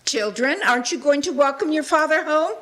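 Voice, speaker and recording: A woman's voice: sits high, brisk, fairly bright tone. A woman playing a busy, loving, outspoken Victorian mother in a live radio play. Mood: bustling.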